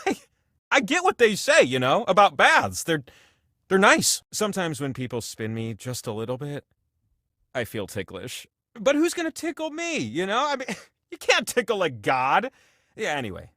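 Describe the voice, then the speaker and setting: A man's voice: a little high, bright, forceful tone, no room sound, clean studio sound, full character voice. A man voicing a giant, ancient talking wheel in a video game, a jovial, grandiose and needy godlike host with a chatty, theatrical delivery. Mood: chatty, cheerful, then quiet, confiding. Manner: chatty, theatrical, swings between gushing and mumbled asides. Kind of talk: comic video game character monologue.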